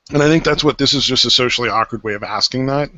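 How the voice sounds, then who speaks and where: A man's voice: medium pitch, sharp tone, no echo. A man in his thirties hosting a casual podcast chat, rambling with lots of fillers and restarts. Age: 30s. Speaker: podcast host. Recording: dry, dull lo-fi podcast audio.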